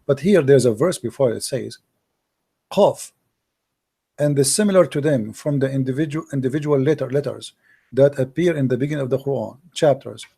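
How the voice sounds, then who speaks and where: A man hosts a call-in discussion, pressing his caller with questions and reading passages aloud. His voice is gravelly and husky, with a dark, mellow tone. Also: medium pitch, moderate pace. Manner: questioning, lectures while reading texts on screen. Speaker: host. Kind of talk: debate call-in show, reading scripture aloud.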